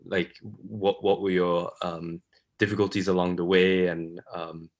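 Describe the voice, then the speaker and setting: A male interviewer on a video call with a very low, monotone voice. He stumbles through his question, repeating himself and leaning on fillers as he searches for words. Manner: halting, full of fillers and restarts. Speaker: interview host.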